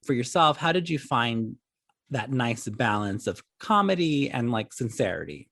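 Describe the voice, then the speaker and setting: A man's voice: medium pitch, very animated, moderate pace, fairly bright tone, no room sound, studio quality. A man hosting an interview in a casual, conversational style. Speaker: interview host.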